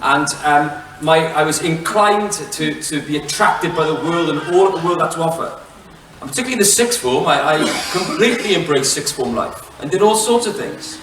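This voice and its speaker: A Welsh man in middle age talks to an audience in a relaxed, joking way, his voice a little high for a man. He stops and starts with many fillers. The sound is roomy and heard from a distance, with a faint hum behind him. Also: moderate pace. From Wales. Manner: chatty, self-mocking, many fillers and restarts. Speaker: head teacher giving a talk. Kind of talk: relaxed public talk with jokes to the audience. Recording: echoey hall, mic from far off.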